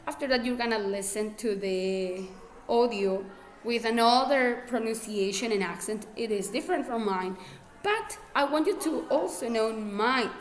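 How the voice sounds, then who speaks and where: A woman's voice: fairly high pitch, normal speed. Patient Ecuadorian English teacher, woman. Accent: Ecuadorian Spanish. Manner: patient, repeats herself, checks with "okay?". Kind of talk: classroom instruction.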